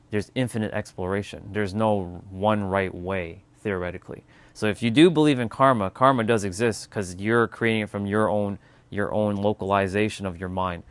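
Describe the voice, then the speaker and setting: A man's voice: lowish voice, fairly bright tone, smooth, no echo. A man hosting a casual livestream, musing aloud on spiritual ideas and answering viewers in chat, with loose, offhand swearing. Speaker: livestream host.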